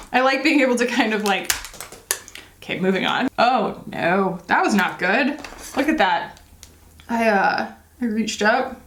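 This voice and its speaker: A woman's voice: mid-range voice, fairly bright tone, echoey room. A woman in her thirties, a beauty vlogger chatting casually and rambling while she does her makeup, with lots of fillers and self-deprecating asides.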